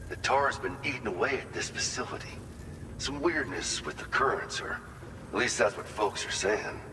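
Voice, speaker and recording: An actor playing a weary, grateful outpost worker in a video game cutscene, speaking in an earnest, halting, reflective way. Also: male voice, mid-range voice, in a large hall, background noise, close to an everyday voice. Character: weary, grateful male facility worker in a post-apocalyptic outpost.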